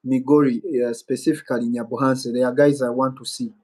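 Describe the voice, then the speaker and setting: A man's voice: medium pitch, level tone, a little fast, darkish tone. A man with a Ugandan accent hosting a casual live-stream Q&A, rambling and musing aloud as he reads out viewer comments. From Western Uganda.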